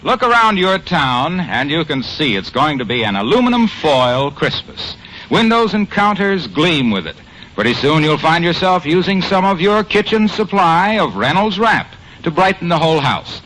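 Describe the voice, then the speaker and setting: A man's voice: a little high, a bit bright, slight background noise, low-quality recording. A man with an American accent reading a script as an old-time radio announcer and narrator. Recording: old radio broadcast recording. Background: music.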